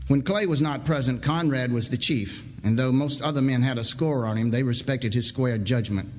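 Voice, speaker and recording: A man giving a deliberate, dramatic staged reading of literary fiction. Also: medium pitch, darkish tone, slight background noise. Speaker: story reader. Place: indoor hall, live event. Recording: reverberant hall, live stage reading.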